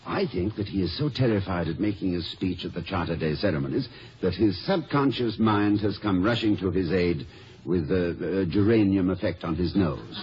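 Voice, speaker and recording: A middle-aged college president, urbane and drolly pompous, in a vintage radio comedy. His fairly low voice moves freely up and down as he muses with dry wit. The recording is dull and a little hissy. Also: expressive, normal speed. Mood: musing, hesitant. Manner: dry, hesitant, pedantic wit. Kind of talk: 1950s radio comedy acting.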